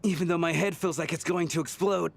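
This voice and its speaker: A gravelly, confused game ghost. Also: male voice, a little high, steady tone, fast talker. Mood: strained, dawning realisation. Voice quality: creaky, gravelly. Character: gruff, indignant man, a confused lost ghost. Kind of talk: scripted game dialogue.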